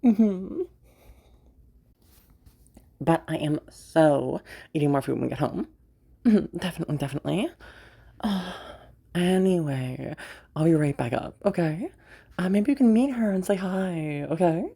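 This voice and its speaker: A woman's voice: lowish voice, lively intonation, unhurried, creaky, long pauses, warm tone, breathy edge, pitched above the performer's own voice, heavily acted. A woman playing a rich, playful and menacing young sociopath in an intimate audio roleplay, teasing and drawling. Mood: breezy, friendly.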